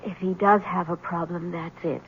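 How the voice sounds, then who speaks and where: An actress playing an anxious, fragile, devoted young wife in a 1970s radio mystery, speaking in a hesitant, pleading way. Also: female voice, on the low side, steady tone, moderate pace, slight background noise, lightly acted. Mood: defensive, devoted. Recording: tinny, band-limited old radio broadcast.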